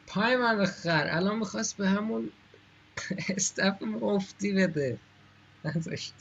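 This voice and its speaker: A man's voice: high-pitched, very fast, vocal fry, a bit bright, dull audio, slight background noise. A Persian-speaking man giving casual commentary as he streams a game. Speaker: gaming streamer.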